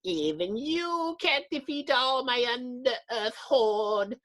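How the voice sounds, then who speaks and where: A man's voice: extremely high pitch, expressive, slow pace, fairly bright tone, voice pushed up, full character voice. A man voicing a mad, boastful mole-like comic-book villain in a grandiose, taunting, theatrical manner. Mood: menacing triumph. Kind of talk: dramatic comic book reading.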